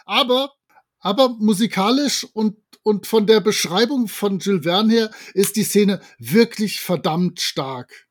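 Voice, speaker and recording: Man, high-pitched voice, podcast panelist, playful, mock-dramatic, casual chat. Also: unhurried. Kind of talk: casual, joking podcast discussion.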